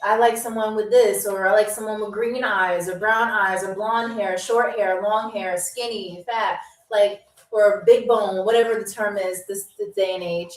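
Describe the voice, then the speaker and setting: A woman's voice: medium pitch, steady tone, moderate pace, distant mic. A woman from New York hosting a live-streamed trivia game show, chatting with viewers, laughing often and reading their comments aloud. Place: room in Albania. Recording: echoey room, voice a bit off-mic.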